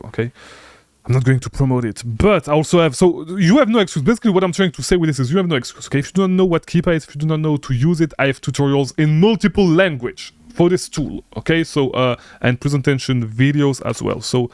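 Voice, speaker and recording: A man whose first language is French, coaching online sellers through a software tutorial, repetitive and insistent, tagging lines with "okay?". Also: medium pitch, gravelly, dry recording, studio quality. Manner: repetitive, lots of "okay?" tags, energetic and insistent. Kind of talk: screen-share software tutorial.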